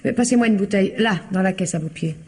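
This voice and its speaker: An actress playing a calm, reserved woman who owns a country house, in a vintage radio drama, with a dry, controlled delivery. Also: mid-range voice, fast, slightly rough, lightly acted. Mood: guarded.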